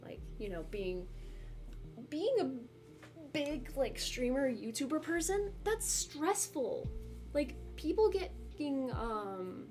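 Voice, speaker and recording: A woman's voice: sits high, very animated, slow pace, a little mellow. A young woman streamer talking casually with her viewers, rambling and self-deprecating, with lots of fillers. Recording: music playing behind.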